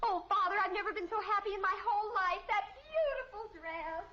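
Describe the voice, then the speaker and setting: An actress playing a gushing, dramatic American teenage daughter in a mid-century radio sitcom. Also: female voice, very high-pitched, lively intonation, brisk, pushed and bright, heavily acted. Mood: thrilled, dramatic. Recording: dull, hissy old radio recording.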